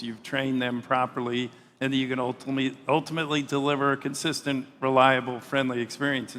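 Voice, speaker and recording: A man speaking as a panelist on a stage, measured and given to repeating words as he starts a thought. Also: mid-range voice, a bit bright, a little hiss or hum. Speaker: panelist, hospitality executive. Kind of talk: panel discussion. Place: large conference hall stage. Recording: hall reverb, faint ambience.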